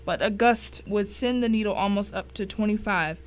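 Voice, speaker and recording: A woman as a mid-pitched, grim thriller narrator. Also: moderate pace, lightly acted. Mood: grim, wry. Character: grim, wry thriller narrator recounting a dangerous ordeal. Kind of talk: dramatic first-person scripted narration.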